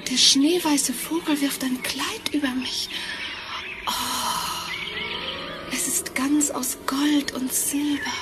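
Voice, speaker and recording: An actress playing a gentle, wistful young girl in a fairy-tale radio play, speaking in a dreamy, declaimed way. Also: high-pitched, steady tone, slow. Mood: awed, dreamy. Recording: vinyl record transfer with clear music.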